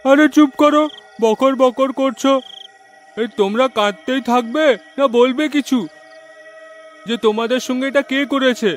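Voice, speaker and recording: A man voicing a gruff villager in a cartoon who scolds and brushes off the victims. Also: extremely high pitch, a little slow, pauses often, soft background music, voice pushed up. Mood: scornful. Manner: scolding, dismissive, loud. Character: loud gruff male villager, scornful.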